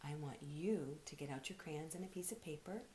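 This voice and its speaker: A woman giving an expressive read-aloud for children as a storyteller and teacher. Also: low voice, a little fast, slight background noise.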